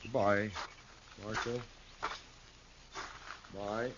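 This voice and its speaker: An actor playing a weary, plain-spoken rancher in a 1950s radio drama, quiet and hurt. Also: male voice, lowish voice, little pitch movement, drawn-out words, background noise.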